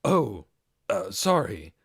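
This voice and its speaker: A man's voice: lowish voice, wildly swooping pitch, slow pace, creaky, breathy edge, no echo, clean studio sound, exaggerated voice. A man voicing an earnest male lizardman traveler and warrior in an audiobook, informal, plain-spoken and easily flustered. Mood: sheepish.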